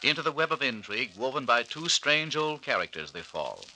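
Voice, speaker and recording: A man's voice: mid-range voice, big pitch swings, brisk, pushed and bright, exaggerated voice, rough recording. A man narrating a vintage radio mystery serial as announcer, solemn and theatrical. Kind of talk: dramatic 1940s radio narration. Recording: hissy vintage radio recording.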